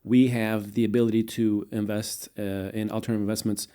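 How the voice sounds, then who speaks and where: Guest man, low and mellow, measured and halting, raised in Portugal. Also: level tone. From United States, raised in Portugal. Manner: measured, many fillers and restarts. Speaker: guest, venture capitalist. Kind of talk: casual interview answers.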